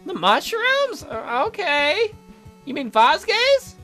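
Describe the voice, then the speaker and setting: A woman's voice: extremely high pitch, sing-song, slow pace, fairly bright tone, soft background music, voice pushed up, heavily acted. A woman voicing a timid, devoted young male bird servant with a flustered, stammering manner in an amateur visual novel read-through. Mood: nervous.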